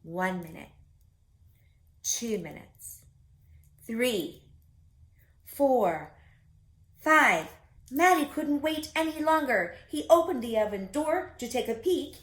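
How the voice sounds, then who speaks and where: A woman reading a picture book aloud for preschoolers, expressive and speaking directly to her young listeners. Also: fairly high pitch, slow pace, pauses often. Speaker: children's story reader.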